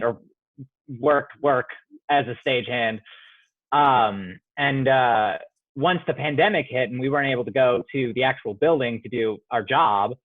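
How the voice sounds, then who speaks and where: A man's voice: mid-range voice, no room sound. A young man, a playwright, giving thoughtful interview answers with many fillers and restarts over a video call. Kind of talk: reflective casual interview answers. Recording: dry, tinny phone-like sound.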